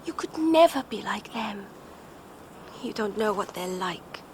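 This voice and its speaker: An actress playing an anxious, lovestruck young woman in a radio drama, hushed, breathy and pleading. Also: medium pitch, lively intonation, a little slow, natural voice. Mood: anxious, tender. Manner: urgent, pleading. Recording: clearly noisy background ambience.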